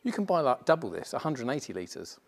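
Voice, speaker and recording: A man's voice: medium pitch, sing-song, fast talker, vocal fry, husky. Easygoing man in a van workshop. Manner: easygoing, explains practically. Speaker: van conversion co-host. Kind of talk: casual workshop chat. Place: large indoor workshop with a van.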